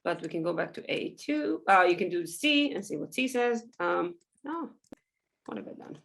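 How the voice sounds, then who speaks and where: Woman walking thru spreadsheet tricks, lowish voice, lots of ums, relaxed and chatty. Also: normal speed, gravelly. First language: Hebrew. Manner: loose and chatty, many ums, small laughs. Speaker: workshop presenter. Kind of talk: casual software tutorial over screen share.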